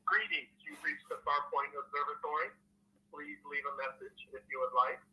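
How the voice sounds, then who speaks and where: A man giving a recorded voicemail greeting for an observatory in a formal, measured way. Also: fairly high pitch, level tone, bright, forceful tone, rough recording. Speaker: observatory answering machine greeting.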